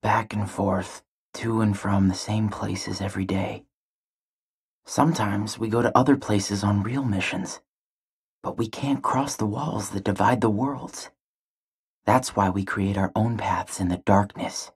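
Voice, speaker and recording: A man playing a withdrawn, naive young teenage boy in a video game, with a soft, breathy voice and a subdued, wondering delivery. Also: lowish voice, fairly even intonation, a little slow, heavily acted. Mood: pensive, subdued. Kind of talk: introspective video game character monologue.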